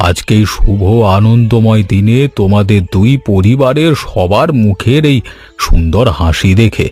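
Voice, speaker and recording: Man voicing kindly old village uncle, low-pitched. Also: a little mellow, slightly rough. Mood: pleased, tender. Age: older. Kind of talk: Bengali animated story voice acting.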